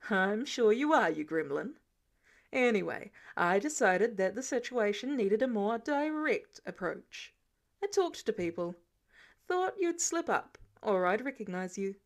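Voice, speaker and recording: A woman's voice: medium pitch, sing-song, measured pace. Woman reading as dry, sardonic older male inventor. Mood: exasperated, smug. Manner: dry, exasperated, teasing.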